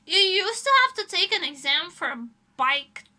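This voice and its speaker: Female streamer, high-pitched and piercingly bright, blunt rambling chat delivery, casual and sweary. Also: lively intonation, normal speed. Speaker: livestreamer, illustrator. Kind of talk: casual livestream chat, reading and answering chat messages.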